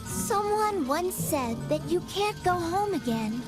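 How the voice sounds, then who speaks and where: A woman's voice: very high voice, animated, slow, heavily acted. A woman playing a whimsical narrator in dramatic game trailer narration. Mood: grand, playful. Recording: loud music over the voice.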